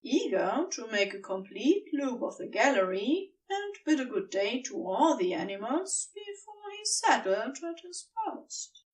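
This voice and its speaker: Narrator wanted: woman, highish voice, expressive and slow-paced, reading a story, slightly off the mic. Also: far from the mic, low-quality recording.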